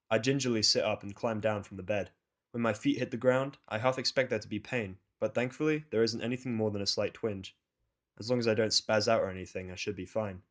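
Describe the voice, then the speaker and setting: A man reading a first-person fan fiction story as narrator, with a blunt, sardonic delivery. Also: on the low side.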